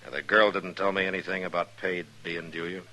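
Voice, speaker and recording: An American man playing a calm, plain-spoken marshal in an old radio western, talking in a measured, matter-of-fact way. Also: low-pitched, low-quality recording. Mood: calm, firm.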